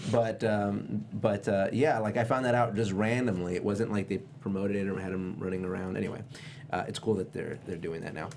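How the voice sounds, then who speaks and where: A man's voice: on the low side, fairly even intonation, creaky. Joking male co-host, faint noise. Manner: joking, quick, laughs often. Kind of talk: casual group chat about movie news.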